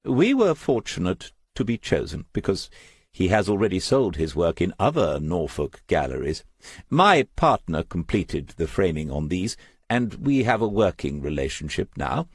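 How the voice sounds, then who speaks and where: A man's voice: sits low, big pitch swings, moderate pace, breathy edge, no echo, studio quality, full character voice. A man voicing a pompous, genteel female gallery owner in an audiobook, proud and affected in manner. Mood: proud, confiding. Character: genteel, self-important middle-aged female gallery owner, gushing sales talk. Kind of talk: audiobook character voice.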